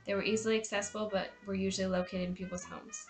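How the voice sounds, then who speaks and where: Quick-reading young woman narrator over music. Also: mid-range voice, little pitch movement. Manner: reading from a script. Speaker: narrator of student history project. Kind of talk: scripted documentary narration.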